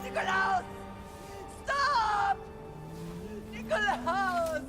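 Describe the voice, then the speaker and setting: A desperate, pleading mother in a video game. She cries out very slowly in an extremely high voice, with music clearly behind her. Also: lively intonation, heavily acted. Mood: anguished, desperate. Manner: crying out, pleading. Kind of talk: video game cutscene acting.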